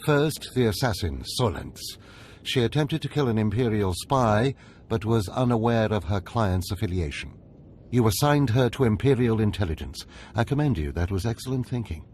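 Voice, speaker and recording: An actor playing a stern, impatient Sith overseer in a video game, imperious, clipped and lecturing. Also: male voice, lowish voice, animated, moderate pace, a little mellow, a little hiss or hum, close to an everyday voice. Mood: approving. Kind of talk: video game cutscene acting.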